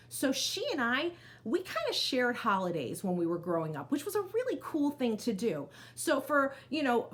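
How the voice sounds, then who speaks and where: A woman storyteller reading aloud to children, expressive, with chatty asides that speak to them directly. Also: high voice.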